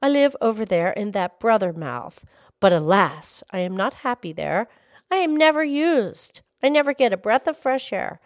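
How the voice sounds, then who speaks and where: A woman voicing a timid, weary little word that lives in a boy's mouth, speaking in a gentle, pleading way. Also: mid-range voice, very animated, normal speed, no echo, clean studio sound, voice pushed up.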